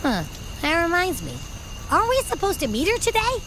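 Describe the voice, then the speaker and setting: High-pitched, lively, carefree young companion. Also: female voice, brisk, background noise, full character voice. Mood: relaxed, casual. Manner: playful, breezy, casual. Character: carefree, food-loving young female companion, playful and easygoing. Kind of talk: video game voice acting.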